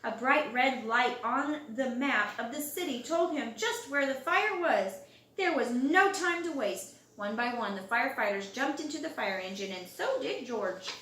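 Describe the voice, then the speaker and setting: A woman reads a picture book to young children in a high voice that swings widely in pitch. She stretches key words for effect. The recording is roomy, with the microphone some distance away. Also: slight background noise. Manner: expressive, drawn-out words and loud acted exclamations for young listeners. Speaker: storybook reader. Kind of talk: animated children's storybook read-aloud.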